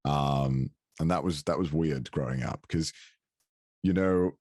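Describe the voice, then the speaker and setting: A man's voice: very deep voice, measured pace, darkish tone, no room sound, studio quality. A young man from North Wales, chatting as a podcast guest, laughing often and swearing casually.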